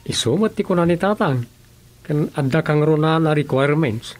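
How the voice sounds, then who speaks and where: A man's voice: a little high, animated, moderate pace, lightly acted. A male actor with an Ilocano accent playing an inquisitive, skeptical villager in a rural comedy radio drama. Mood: explaining. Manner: questioning, colloquial.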